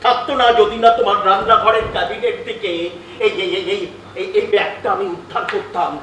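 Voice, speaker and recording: Obsessive, fervent male character, stage actor, projected bright voice, echoing theatre with faint noise. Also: high-pitched, fairly even intonation, brisk. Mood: accusing. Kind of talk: projected stage drama acting. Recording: echoey theatre hall, distant mic, faint noise.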